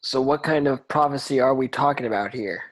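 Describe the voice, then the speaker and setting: A man's voice: medium pitch, brisk, close to an everyday voice. A man playing a defiant teenage boy wizard in an amateur table read, casual and cocky. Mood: cheeky, defiant.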